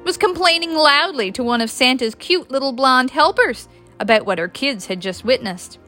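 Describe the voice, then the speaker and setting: A woman with a Newfoundland accent reading a story aloud as an expressive narrator. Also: sits high, moderate pace, soft background music. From Newfoundland, Canada. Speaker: guest reader, author.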